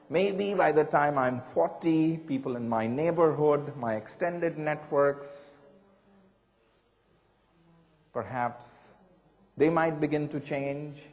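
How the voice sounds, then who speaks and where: A man giving a reflective talk, measured and thoughtful, often posing rhetorical questions to his listeners. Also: medium pitch, big-room sound. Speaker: speaker.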